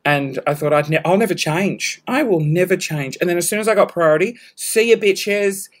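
Hoarse Australian woman rambling. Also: lowish voice, normal speed. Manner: rambling, self-mocking, scattered asides. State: losing her voice, hoarse. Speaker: podcast host. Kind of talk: casual solo podcast chat. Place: home room, balcony door open.

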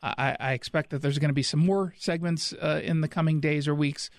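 A man's voice: on the high side, fairly even intonation, fairly quick, no echo. A man hosting a talk radio show, delivering an indignant, sarcastic monologue.

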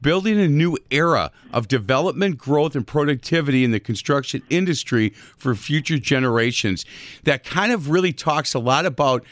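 A man's voice: medium pitch, a bit bright, dry recording. Chatty radio host man, dry studio sound. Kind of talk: casual radio talk.

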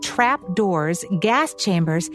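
A woman's voice: fairly low pitch, expressive, slow, a bit bright, faint music behind. An American woman reading scripted narration as co-host of a radio show.

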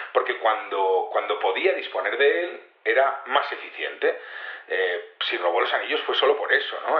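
A roomy, slightly dull radio-style recording of an actor as a male security investigator in an audio drama interview. He explains his case patiently in a colloquial, halting way, repeating words and checking with tag questions. His pitch sits in an ordinary male middle. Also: close to an everyday voice. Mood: patient, confident.

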